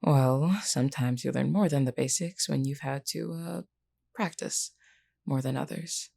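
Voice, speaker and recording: A woman playing a flirty, self-assured female werewolf in an intimate audio roleplay, teasing and blunt, with a low, mellow, gravelly voice. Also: exaggerated voice. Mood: casual, candid. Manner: teasing, blunt, unhurried, uses pet name "hun".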